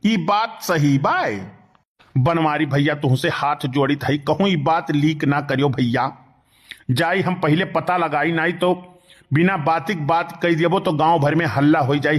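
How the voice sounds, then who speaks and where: A man playing a gullible, excitable village uncle with an Awadhi accent in a comic cartoon. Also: on the high side, a little slow. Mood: suspicious, worried.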